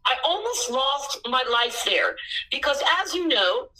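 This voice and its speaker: A woman in her sixties, a missionary, telling her story in an earnest way that bursts into excitement at times. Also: high-pitched, measured pace, recorded from a distance, low-quality recording. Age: 60s.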